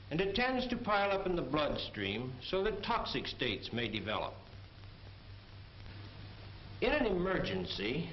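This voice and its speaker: A man playing an army major who gives a precise, formal medical lecture in a 1940s training film. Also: on the high side. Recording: muffled, echoey, noisy 1940s film soundtrack.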